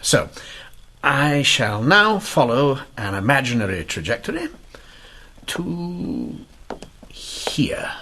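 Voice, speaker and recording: Shrewd Victorian-era inspector: middle-pitched, very expressive, theatrical explainer for a radio mystery. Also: male voice, vocal fry, slight background noise, exaggerated voice. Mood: theatrical deduction. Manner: deliberate, theatrical explaining, with old-fashioned turns of phrase. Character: shrewd, theatrical male police inspector in 1890s Canada. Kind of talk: radio drama acting.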